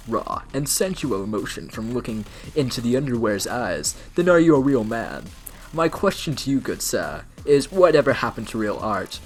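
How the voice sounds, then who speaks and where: A man's voice: medium pitch, lightly acted. A man playing a pretentious, proudly unashamed online art poster in a solemnly earnest comedic dramatic reading. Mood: impassioned. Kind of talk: dramatic reading of an internet comment argument.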